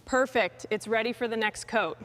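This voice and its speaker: A woman with a fairly high voice talks herself through restoration work. Her remarks are short, clipped and approving. Faint ambient and vehicle noise sits behind her. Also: normal speed, a bit bright. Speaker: restorer narrating own work. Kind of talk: short task commentary during vehicle restoration.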